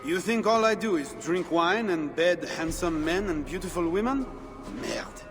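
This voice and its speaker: Brief: a teasing, worldly informant, strong music score behind. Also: male voice, high voice, lively intonation, normal speed. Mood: wry. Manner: wry, knowing, teasing. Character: worldly, sardonic Frankish spymaster. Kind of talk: video game cutscene. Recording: game audio with reverb and music.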